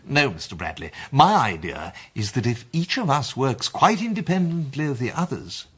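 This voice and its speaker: Pompous english club chairman in a radio play, very lively pitch, bit husky, speechy and formal. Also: normal speed. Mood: relishing, conspiratorial. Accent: English, upper-middle-class. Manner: formal, oratorical, savouring his phrases. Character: pompous, self-satisfied male president of a gentlemanly detective club. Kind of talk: 1920s-set British radio play acting.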